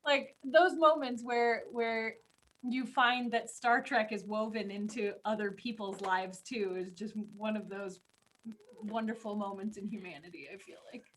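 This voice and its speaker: A woman co-hosting a casual podcast chat, reminiscing and telling stories in an animated way. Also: mid-range voice, a little slow, a little hiss or hum, rough recording. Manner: animated storytelling with acted-out quotes. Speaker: podcast co-host.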